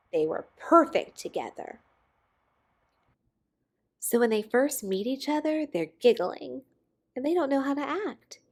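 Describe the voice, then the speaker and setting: A woman reading a children's picture book aloud expressively, with dramatic pauses and emphasis on key words. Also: high voice, darkish tone. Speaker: storybook reader.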